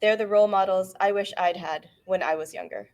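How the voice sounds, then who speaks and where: A woman's voice: mid-range voice, steady tone, darkish tone. A middle-aged Canadian woman, an author reading the introduction to her book aloud at a book event. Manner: measured, earnest reading. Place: bookfest event hall.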